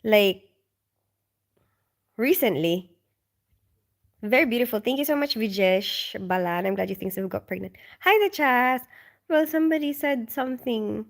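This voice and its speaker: A woman's voice: a little high, lively intonation, normal speed, long pauses. A woman from the Philippines hosting a casual live stream at home at night. She reads viewer comments aloud and chats with lots of ums and small laughs, and she sounds tired and sleepy.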